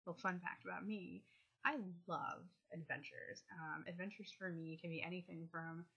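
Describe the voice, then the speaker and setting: A woman in her thirties chatting casually in a vlog, rambling with many fillers, her voice going hoarse from a cold. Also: on the low side, fairly even intonation, normal speed, pushed and bright, highs cut off. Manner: rambling, lots of ums and likes. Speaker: vlogger.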